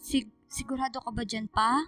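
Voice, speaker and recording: A woman's voice: fairly high pitch, flat delivery, normal speed, pushed and bright, soft background music. A woman playing a caring, playful young Filipino daughter in a radio drama, with a girlish manner. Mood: dutiful.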